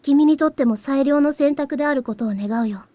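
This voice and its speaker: A woman voicing a mysterious young boy in a visual novel, speaking in a measured, portentous way. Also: high voice, mellow, dry recording, natural voice. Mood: calm, solemn. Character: mysterious, solemn young boy offering power. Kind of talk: Japanese visual novel voice acting. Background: game music.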